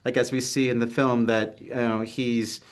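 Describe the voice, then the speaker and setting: A man's voice: mid-range voice, level tone, moderate pace, clear, resonant tone. A young man from Texas, a film writer and actor, talking earnestly over a video call in a casual interview, with lots of ums and restarts. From Texas, United States. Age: young adult. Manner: earnest, hesitant, many fillers. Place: city apartment with street traffic outside.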